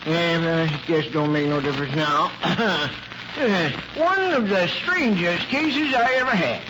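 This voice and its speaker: An actor playing an older, folksy, chuckling retired country doctor who rambles and repeats himself in 1940s radio drama. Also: male voice, a little high, lively intonation, measured pace. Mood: reflective, hesitant. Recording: noisy old radio recording.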